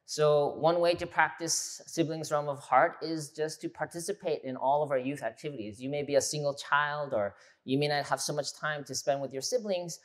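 A man in his thirties, a pastor giving a conversational, animated sermon in a church hall on a lapel mic. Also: fairly high pitch, normal speed, hardly any pauses. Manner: animated, gesturing, conversational teaching.

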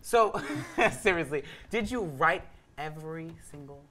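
A man's voice: fairly high pitch, expressive, a little slow, pushed and bright. A young man co-hosting a studio talk show, talking in a flamboyant, exclamatory and sassy way. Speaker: co-host.

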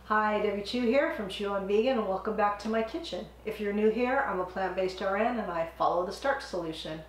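A middle-aged woman hosting a home cooking channel, a bit hoarse from a cold, explaining a recipe step by step in a friendly, chatty way. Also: medium pitch, brisk, reverberant, poor audio. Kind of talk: casual cooking demonstration. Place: home kitchen, indoors.

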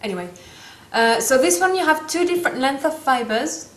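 A woman in her thirties speaks English with a French accent, her voice sitting a little high. She chats through a makeup tutorial in a playful, loose way, pausing to hunt for English words. The camera mic catches the echo of a small room. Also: lively intonation, fairly bright tone. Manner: chatty, playful asides, searching for English words. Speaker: makeup vlogger. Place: small room indoors, seated.

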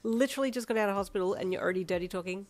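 A woman voicing a sarcastic, excitable teenage girl who can be deadpan or squealing, in a narrated story. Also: medium pitch, rapid-fire, close to an everyday voice. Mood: pressing, curious. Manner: swings between deadpan and squealing.

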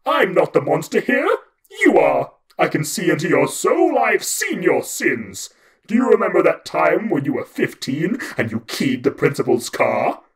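A man voicing a huge, petulant, tick-like male Dark God who acts like an internet troll, loud, whiny and theatrical. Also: fairly high pitch, very animated, measured pace, voice pushed up. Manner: loud, whiny, theatrical, touchy about his hair. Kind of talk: storytelling with voiced characters.

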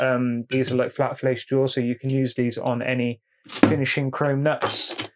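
Chatty tradesman, mid-pitched voice. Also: slight background noise. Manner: chatty, repeats "really, really nice", calls tools "he". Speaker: plumber showing his tools. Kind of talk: casual show-and-tell. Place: indoor tabletop setup, speaker off camera.

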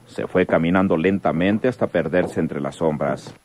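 A man narrating a rural melodrama in a dramatic, deliberate old radio-serial style. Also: low voice, noisy background, lightly acted. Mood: dramatic, suspenseful. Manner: dramatic, deliberate, posing questions.

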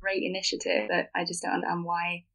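A woman from Germany chats as an interview guest. Her voice sits a little low and stays very even, and she talks in a thoughtful, earnest way. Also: quick pace, creaky. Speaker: podcast guest, filmmaker and actor. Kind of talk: conversational podcast interview.

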